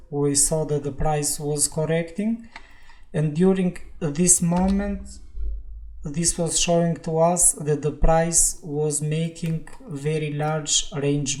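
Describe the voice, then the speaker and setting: Slow, mellow-voiced trading analyst. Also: medium pitch. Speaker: trading analyst and channel host. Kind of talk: chart walkthrough trade review.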